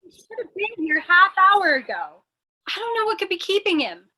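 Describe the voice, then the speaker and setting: A woman's voice: extremely high pitch, big pitch swings, normal speed, sharp tone, a little hiss or hum, heavily acted, rough recording. A woman playing a plucky, mock-dignified lady gang boss in an old-time radio play, theatrical and coy. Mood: pointed, anxious.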